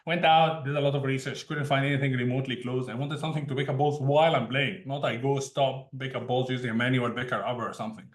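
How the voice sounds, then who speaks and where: A man's voice: mid-range voice, steady tone, fast talker, few pauses. A man from Egypt, a tech founder telling his story as an interview guest in an easygoing way, often laughing between sentences. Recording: roomy echo.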